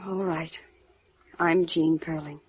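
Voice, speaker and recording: A woman playing a guarded, defiant young runaway heiress, defensive and halting, in a 1950s radio noir drama. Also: low voice, fairly even intonation, breathy edge, exaggerated voice. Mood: resigned confession. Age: young adult. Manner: defensive, halting when cornered. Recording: dull, lo-fi old radio recording.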